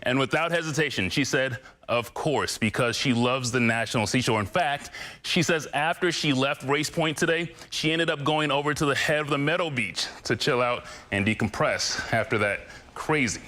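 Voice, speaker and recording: A man's voice: mid-range voice, moderate pace, bright, forceful tone. A man reporting a local news story in the field and chatting with the anchor.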